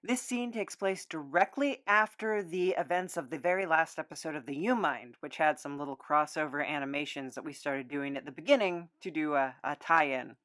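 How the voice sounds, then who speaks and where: A woman's voice: deep voice, normal speed, few pauses, a bit bright, no echo, studio quality. A woman casually introducing and explaining script excerpts from her own show.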